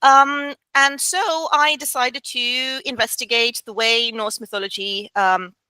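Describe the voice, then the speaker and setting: Bright-voiced Romanian woman historian. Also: on the high side, steady tone, measured pace, smooth, no room sound, poor audio. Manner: thoughtful, many fillers, light laughs. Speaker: guest historian. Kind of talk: interview answers.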